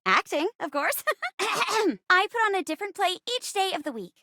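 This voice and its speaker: High, bubbly theatrical chair. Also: female voice, big pitch swings, moderate pace, pushed and bright, dry recording, studio quality, full character voice. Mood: bubbly, eager. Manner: bubbly, dramatic, stagey. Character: perky theatrical living chair, eager young stage actress. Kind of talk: animated dating-game character voice acting.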